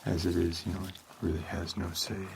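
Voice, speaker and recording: A man plays a weary homicide detective in a hushed ASMR monologue. His voice is low and gravelly, and he rambles in a deadpan way. There is clear noise behind him. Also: fast. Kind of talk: slow ASMR roleplay monologue.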